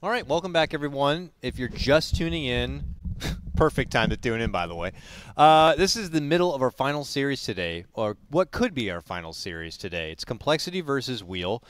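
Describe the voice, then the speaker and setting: A man's voice: mid-range voice, very animated, a little fast, a bit bright, slight background noise. A man casting a live esports match with an upbeat manner, laughing often, on a headset in a small studio. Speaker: esports caster. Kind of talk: live game commentary. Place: small decorated studio set.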